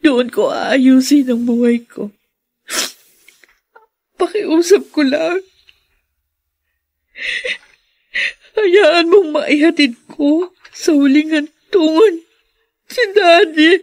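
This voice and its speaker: An actress playing a remorseful, grief-stricken daughter in a radio drama, pleading and emotional. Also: female voice, sits high, takes it slowly, long pauses, a little mellow. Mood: tearful pleading.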